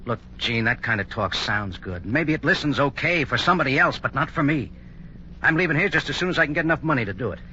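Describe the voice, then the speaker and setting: Intense, fast-talking defiant young man. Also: mid-range voice, expressive, sharp tone. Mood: bitter, defiant. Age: young adult. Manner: brisk, intense, stubborn. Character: bitter, defiant young minister's son. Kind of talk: 1950s radio drama acting. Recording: muffled old radio recording, faint noise.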